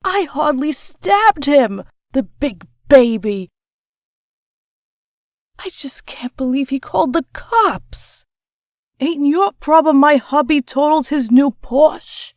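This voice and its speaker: Actress as brazen comic-book wife, high voice, slow sarcastic delivery, dry sound. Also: female voice, lively intonation, dry recording. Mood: sarcastic, dismissive. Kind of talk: fan comic dub acting.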